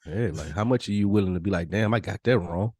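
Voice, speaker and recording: A man's voice: on the low side, fast talker, darkish tone, breathy edge, no room sound, studio quality. A man in casual podcast conversation, speaking bluntly with slang, swearing and emphatic repetition. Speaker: podcast co-host.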